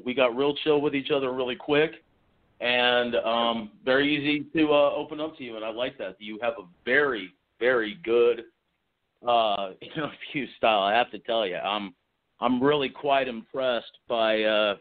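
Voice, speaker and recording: A man in his forties from Texas, a guest author chatting in an interview, enthusiastic and rambling with many restarts. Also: mid-range voice. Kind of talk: casual interview chat. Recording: tinny phone line.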